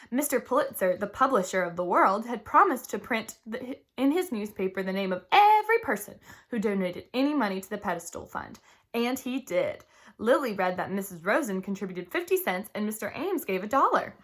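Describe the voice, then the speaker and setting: A woman reading a children's book aloud in an expressive, animated way, as a storybook reader. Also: on the high side. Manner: animated, acts out dialogue.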